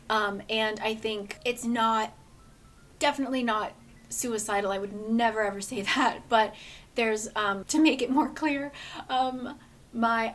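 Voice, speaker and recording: Chatty hoarse woman reviewing books. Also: fairly high pitch, a little hiss or hum. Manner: chatty and earnest, rambling asides. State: hoarse, voice gone a bit. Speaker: book vlogger. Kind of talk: casual book review chat.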